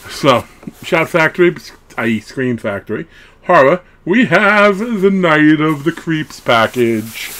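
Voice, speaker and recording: A man's voice: on the high side, fairly bright tone, slight background noise. A man in his thirties chatting casually through an unboxing, rambling and breaking off into asides as a hobby vlogger. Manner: rambling, self-interrupting asides. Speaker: horror collectibles vlogger. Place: small wood-panelled room at home, indoors.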